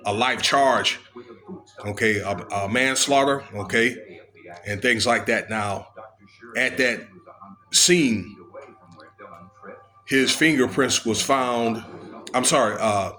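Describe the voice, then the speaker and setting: An American man giving informal, unscripted commentary on legal news, halting as he goes and often checking in with the listener. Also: mid-range voice, pushed and bright. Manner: halting, with frequent checking tags. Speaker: video commentator.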